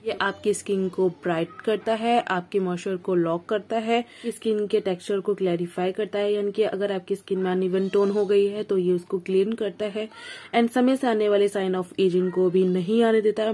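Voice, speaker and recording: A woman with an Indian accent talks through a skincare review in a chatty, casual way. Her medium-pitched voice carries a cold, and she drops English phrases into her explanations. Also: fairly even intonation, moderate pace, warm tone, a little hiss or hum. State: has a cold. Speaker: beauty vlogger reviewing skincare. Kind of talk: casual product review to camera.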